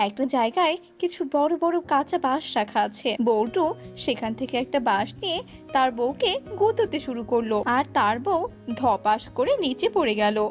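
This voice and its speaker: A woman's voice: high voice, expressive, normal speed, faint music behind. A woman narrating a scripted cartoon folk tale, on a dull lo-fi recording.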